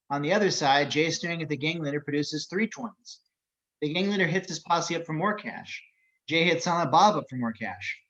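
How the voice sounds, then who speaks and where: A man's voice: on the high side, fairly bright tone, muffled recording. A man reading screenplay action descriptions as narrator at an amateur table read.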